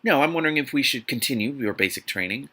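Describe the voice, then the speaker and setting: A man's voice: mid-range voice, animated, fast talker, sharp tone, breathy edge. A man voicing a domineering, seductive rich man from a romance novel, with a teasing, murmuring delivery. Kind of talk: audiobook-style dialogue reading.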